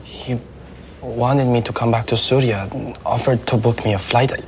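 A man's voice: mid-range voice, steady tone, background noise, natural voice. An actor playing a shy, frightened teenage boy who speaks hesitantly and stumbles over words. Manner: hesitant, halting speech. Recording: dialogue over series music.

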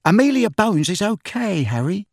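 A man's voice: very high voice, expressive, normal speed, breathy edge, no room sound, clean studio sound, voice pushed up, exaggerated voice. A man voicing an earnest, friendly young woman in an audiobook, with a caring, informal manner. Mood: earnest, encouraging.

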